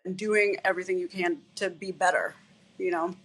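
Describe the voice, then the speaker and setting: A woman guest, a physician assistant and fitness instructor, talking in a relaxed, rambling way with many fillers. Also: fairly low pitch, normal speed, low-quality recording. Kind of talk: casual interview chat.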